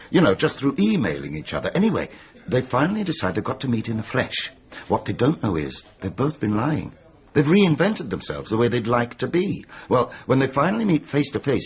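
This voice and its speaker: An actor playing a disillusioned, sardonic middle-aged writer in a radio comedy drama, blunt and wry. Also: male voice, medium pitch, vocal fry, lo-fi sound. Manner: blunt, wry, world-weary.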